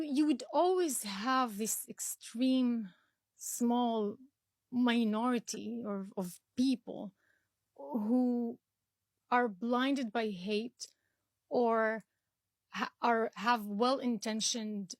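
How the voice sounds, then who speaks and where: A woman's voice: a little high, slow pace, dry recording. A young woman from Israel whose first language is Arabic, answering interview questions earnestly with frequent restarts and fillers. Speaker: interview guest.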